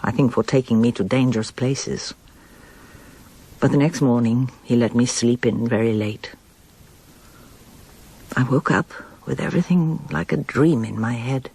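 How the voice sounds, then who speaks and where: A woman's voice: very deep voice, measured pace, pauses often, dark tone, slightly rough, full character voice. An actress playing an older mother, bewildered and reflective, speaking in a hesitant, musing way in a naturalistic radio drama. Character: older mother, bewildered, reflective, anxious, remembering her past.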